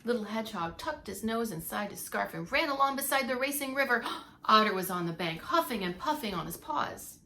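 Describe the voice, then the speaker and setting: A woman's voice: a little high, expressive, a little fast, few pauses, pushed and bright. A woman reading a story aloud like a preschool teacher, with dramatic emphasis and asides to young listeners. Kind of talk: expressive read-aloud storytelling for young children.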